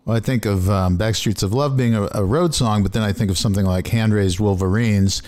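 A man's voice: lowish voice, brisk, darkish tone. A man interviewing a guest in a casual, conversational style. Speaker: interviewer and road driver.

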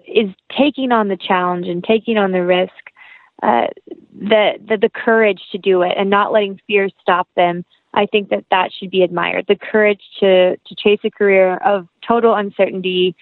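A woman talks as a guest in a conversational interview, earnest and encouraging. Her voice sits at a medium pitch. It comes through a narrow, phone-like connection with no room sound. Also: dry recording.

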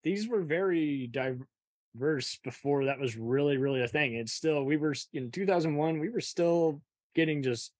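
Dry-sounding woman interviewer, low for a woman. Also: moderate pace, darkish tone, dry recording. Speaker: interviewer, host. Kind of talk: casual opinionated film chat.

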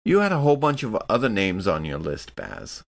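A man playing a tabletop game, discussing choices casually in an earnest, deliberate way. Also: fairly low pitch, huge pitch swings, gravelly.

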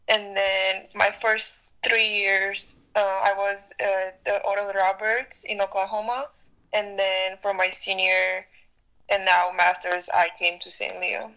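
A woman's voice: mid-range voice, little pitch movement, measured pace, bright, forceful tone, low-quality recording. A woman in her twenties from Romania, a graduate student guest giving short, plain answers about her background. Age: 20s. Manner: brief, plain answers with fillers. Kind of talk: casual roundtable interview.

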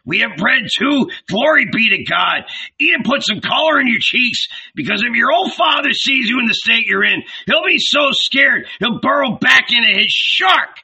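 A man voicing an irascible, scoffing old village patriarch in a dramatic audiobook, loud and exclamatory with a raspy voice. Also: extremely high pitch, lively intonation, moderate pace, pitched above the performer's own voice, full character voice, poor audio. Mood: boisterous, hearty. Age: older. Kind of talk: dramatic audiobook character reading.

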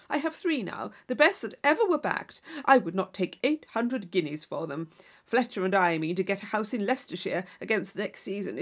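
Audiobook role: woman as boastful young Regency gentleman, blustering and brash, full of loud exclamation. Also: medium pitch, vocal fry, breathy edge, heavily acted. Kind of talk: audiobook character voice.

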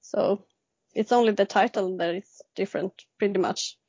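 A Swedish woman in her thirties speaks English with a clear Swedish accent as a podcast guest. She hesitates often, filling gaps with ums and restarting her sentences. The sound is dry and slightly dull, with an ordinary pitch. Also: moderate pace, darkish tone, no echo. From Sweden. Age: 30s. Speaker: guest illustrator. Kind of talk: casual interview answers.

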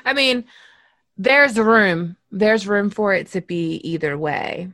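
Gravelly-voiced woman podcast host. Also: mid-range voice, takes it slowly, dry recording. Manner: animated, laughing, reads passages aloud. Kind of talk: casual chat.

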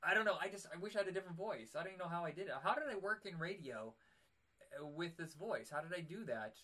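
A man's voice: high-pitched, expressive, fast talker, bright, forceful tone. Nasal-voiced man hosting a podcast. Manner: rambling, self-deprecating. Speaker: podcast host. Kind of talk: casual podcast chat.

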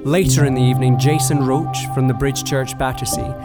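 Man, scripted announcer link, gravelly creak, mid pitch, loud music bed. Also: steady tone, a little fast. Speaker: podcast announcer. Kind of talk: scripted narration.

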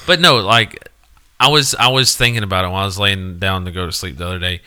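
A man's voice: deep voice, quick pace, pushed and bright, smooth. A man co-hosting a podcast, laid-back and teasing in casual banter. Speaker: podcast co-host.

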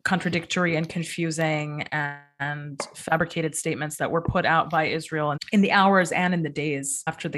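A woman's voice: deep voice, a bit bright. A woman co-hosting an interview podcast, earnest and indignant, often restarting her sentences. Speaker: podcast co-host.

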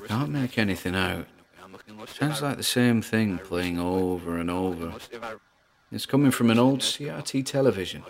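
Creaky low-voiced man, acting a wary investigator. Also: expressive, moderate pace. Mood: uneasy, observant. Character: frightened male paranormal investigator narrating his exploration. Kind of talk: audio drama acting, found-recording monologue.

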